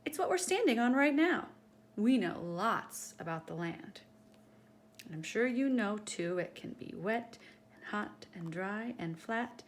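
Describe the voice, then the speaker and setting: Storytime host, female, very lively pitch swings, teacherly read-aloud for young kids, some background noise. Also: unhurried. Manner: animated, teacherly, addressing young listeners directly. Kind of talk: expressive children's picture-book read-aloud.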